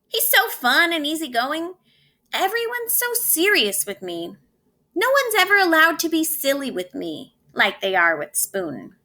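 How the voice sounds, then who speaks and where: An envious piece of storybook cutlery, acted by a woman reader. The voice is bright and lively, stressing its admiration earnestly. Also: high voice, measured pace, voice pushed up, full character voice. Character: envious, admiring cutlery friends: knife, fork, chopsticks. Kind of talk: children's storybook read-aloud.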